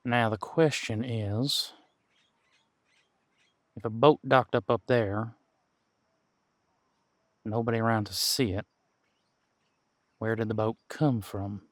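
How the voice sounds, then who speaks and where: A man acting as a seasoned detective in an audio drama, with a lowish voice in a dry, close recording. He is a patient, wry mentor who thinks aloud in folksy, colloquial phrases and takes his time. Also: fairly even intonation, slow pace, pauses often, dry recording. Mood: businesslike. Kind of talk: roleplay audio drama, one-sided conversation.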